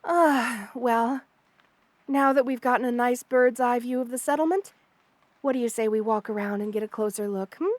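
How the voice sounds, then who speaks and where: A woman voicing a kind, motherly spider queen in an ASMR roleplay, soft-spoken, doting and reassuring as she speaks to the listener. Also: fairly high pitch, normal speed, no echo, lightly acted. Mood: gentle, pleased. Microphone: close studio mic, dry.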